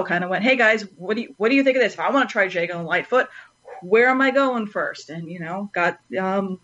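A woman with a mid-pitched voice chats on a panel podcast. She is animated and rambles, breaking into her own sentences, and the audio is somewhat dull. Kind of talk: casual chat.

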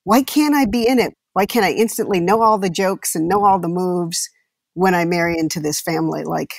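A woman's voice: lowish voice, a little fast, creaky, no echo, clean studio sound. A woman speaking as an actor guest in reflective, casual conversation.

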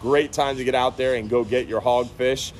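A man's voice: medium pitch, fairly even intonation, moderate pace, slight background noise. A man in his thirties hosting a casual fishing report outdoors on a beach, speaking into a phone camera at arm's length, upbeat and repeating words for emphasis. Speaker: marina fishing report host. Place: outdoors on a sunny beach by a bridge.

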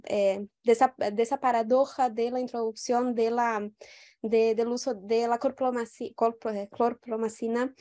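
A woman's voice: on the high side, warm tone. A young woman from Brazil with a Brazilian Portuguese accent, speaking Spanish over a video call in an academic discussion, hesitant with many restarts. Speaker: audience member.

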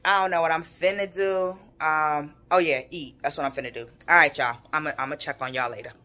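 A woman's voice: sits low, steady tone, brisk, sharp tone. A young American woman chatting casually and slangily to a vlog audience. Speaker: vlogger. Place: home kitchen, indoors. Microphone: phone at arm's length.

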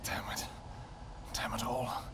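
A man's voice: takes it slowly, a bit bright, whispered. A man playing a devoted royal vampire king in an audio roleplay, tender with his wife and commanding with his soldiers. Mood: urgent.

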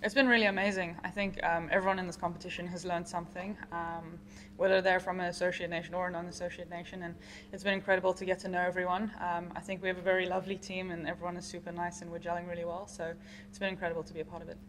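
A young woman speaks with a fairly low voice, answering casually as a sports player after a match. She is in a press room with a faint layer of background noise. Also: level tone, rapid-fire, a bit bright. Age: young adult. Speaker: cricket player at post-match press conference. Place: indoor press conference room.

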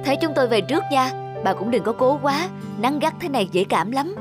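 A woman voicing a kindly, practical village market woman in a cartoon, brisk and solicitous. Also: sits high, expressive, music in the background. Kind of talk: animated moral tale voice acting.

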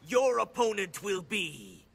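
Casting: pompous evil old sorcerer, fighting game villain, sharp gravelly voice, slow and theatrical. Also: male voice, high voice, huge pitch swings, slight background noise, exaggerated voice. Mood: grandiose. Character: sinister, imperious old sorcerer tournament master. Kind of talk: villainous video game cutscene acting.

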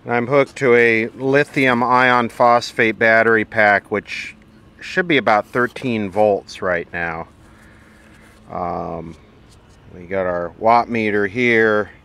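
A man's voice: lowish voice, slow pace, bright, forceful tone, smooth. A man giving casual, off-the-cuff commentary as he looks over vintage electronics, with dry, sardonic asides. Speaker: vintage electronics repair host.

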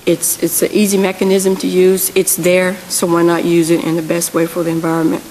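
A woman's voice: lowish voice, completely flat delivery, a little fast, a little mellow. A woman in her thirties presenting on a policy panel into a table microphone in a large hearing room, earnest and correcting herself as she goes. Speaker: panel speaker. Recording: long hall reverb, faint hiss.

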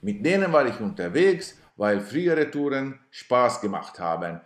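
Slow, dry man narrating. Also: medium pitch, roomy sound. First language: Swedish. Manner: dry, self-ironic storytelling. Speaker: cyclist narrating his trip video. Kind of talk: scripted voice-over narration.

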